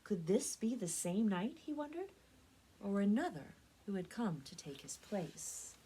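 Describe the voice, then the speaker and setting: A woman reads a story aloud expressively, with deliberate, dramatic phrasing and strong emphasis, like a librarian at story time. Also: medium pitch, big pitch swings, dark tone, slight background noise, rough recording. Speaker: librarian reading stories for a library program.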